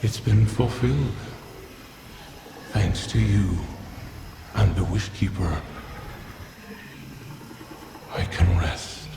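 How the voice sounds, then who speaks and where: A man playing a dying ancient male dragon spirit in a video game, tender and resigned, with a deep, gravelly, echoing otherworldly voice. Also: exaggerated voice. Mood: weary, resigned. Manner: very slow, tender. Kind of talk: video game character dialogue. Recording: echoey, with noisy ambience behind.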